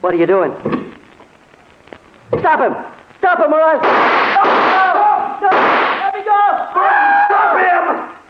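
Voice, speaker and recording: An actor playing a blunt, excitable small-town sheriff with folksy speech in a 1940s radio drama. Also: male voice, very high-pitched, takes it slowly, over a noisy crowd, heavy background noise, heavily acted. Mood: panicked. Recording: thin, narrow vintage radio recording.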